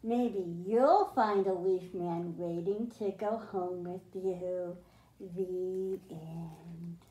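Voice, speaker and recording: A middle-aged woman hosting a children's storytime, speaking in simple, deliberate phrases meant for young kids. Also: lowish voice, fairly even intonation, dark tone. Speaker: children's librarian, storytime host. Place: small library room with bookshelves, indoors. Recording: roomy, echoing indoor sound.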